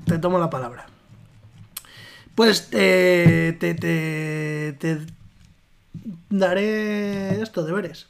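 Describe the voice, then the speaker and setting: A woman's voice: fairly low pitch, very slow, gravelly, pauses often, clean tone. A woman with a Spanish accent from Spain, co-hosting a podcast in casual, teasing, joking chat and reading out listener comments, swearing freely. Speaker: podcast co-host.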